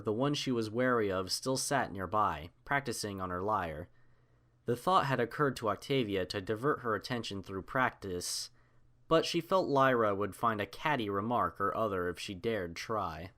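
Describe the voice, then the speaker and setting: A male audiobook narrator reads scripted fiction. His voice sits a little low, and he delivers descriptive story prose. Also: lively intonation, normal speed, fairly bright tone.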